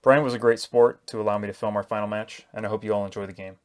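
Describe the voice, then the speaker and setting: A man's voice: on the low side, level tone, quick pace. A man hosting a tabletop miniatures channel, narrating a prepared introduction to a game. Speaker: host of a miniatures battle report channel.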